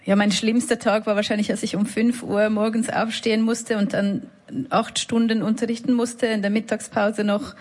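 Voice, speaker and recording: A woman's voice: on the high side, flat delivery, normal speed, darkish tone. A woman with a Swiss German accent, a musician talking in a radio studio interview in a reflective, self-deprecating way, laughing between words. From Switzerland. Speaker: musician guest. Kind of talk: casual interview talk.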